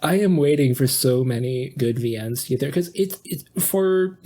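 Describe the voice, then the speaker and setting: A man's voice: medium pitch, animated, moderate pace, mellow, slight background noise. A man in casual podcast conversation, hesitant and repeating his phrases as he thinks aloud. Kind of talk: casual chat.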